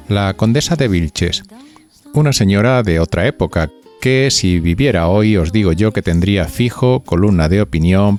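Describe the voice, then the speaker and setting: A man's voice: sits low, darkish tone. A man with an accent from Spain hosting a podcast, narrating in a chatty, scripted gossip style with a playful, confiding manner that speaks straight to listeners. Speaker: podcast host.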